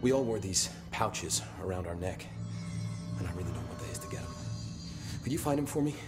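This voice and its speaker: Gruff security guard, game character, deep voice, casual, sardonic, music behind. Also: male voice, expressive, fairly quick. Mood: confiding, uneasy. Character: gruff, wry male security officer, casually grumbling. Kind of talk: video game dialogue acting.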